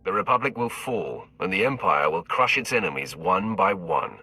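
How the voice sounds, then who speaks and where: An actor playing a cold, challenging Sith lord in a video game, stern and commanding. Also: male voice, deep voice, animated, moderate pace, bright, forceful tone, slightly rough, exaggerated voice. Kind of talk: dramatic video game acting.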